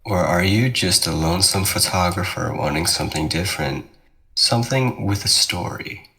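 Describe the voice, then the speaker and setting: Man playing a deep, teasing young ghost twin. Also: creaky, pushed and bright, exaggerated voice. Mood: taunting. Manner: taunting, measured, darkly coaxing. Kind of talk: ASMR roleplay drama.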